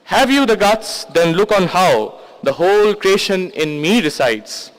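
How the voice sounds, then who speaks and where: A man's voice: high-pitched, lively intonation, a little slow, rough recording. A man reciting poetry as a wrathful god who reveals a vast cosmic form, in a solemn, commanding, declamatory manner.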